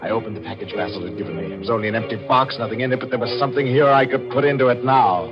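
An actor playing a cold, calculating, gloating killer in a 1940s radio mystery, with a theatrical, deliberate, menacing delivery. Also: male voice, medium pitch, fairly even intonation, natural voice. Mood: confident narrating. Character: cold, calculating, gloating male murderer and schemer. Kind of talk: 1940s radio mystery acting, with first-person narration. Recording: muffled old radio broadcast with music.

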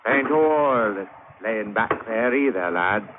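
An actor playing a scheming, commanding first mate who talks in a conspiratorial, insinuating way in a 1940s radio drama. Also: male voice, on the low side, lively intonation, takes it slowly. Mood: sly, conspiratorial. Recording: tinny vintage radio with faint noise.

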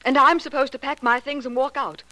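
Jilted young lady scientist, sharp bright voice, possessive, threatening, old 1940s radio melodrama. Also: high voice, steady tone, fairly quick, rough recording. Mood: bitter, threatening. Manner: biting, dramatic, insistent. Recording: vintage 1940s radio recording.

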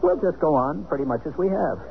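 A man's voice: fairly high pitch, expressive, fairly quick, vocal fry, a crowd in the background. An actor playing a jaunty, flustered American businessman and husband in a 1970s radio drama. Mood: frank, casual. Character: jaunty, flustered businessman and estranged husband, former college athlete. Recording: dull, noisy old radio recording.

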